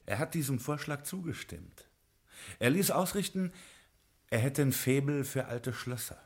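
An actor playing a smooth-talking Prohibition gangster boss in a 1950s radio crime play, persuasive and jovial. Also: male voice, mid-range voice, animated, a little slow, darkish tone.

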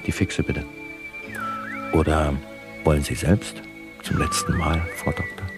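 An actor playing a slick, callous studio show host with a showy manner in a dystopian satirical radio play. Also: male voice, a bass voice, measured pace. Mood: coolly solicitous. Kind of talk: satirical science fiction radio play acting. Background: music.

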